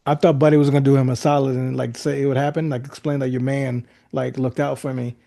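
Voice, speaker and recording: Fast-talking, mellow-voiced man. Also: medium pitch, flat delivery, gravelly. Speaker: podcast co-host. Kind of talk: casual, slangy group chat.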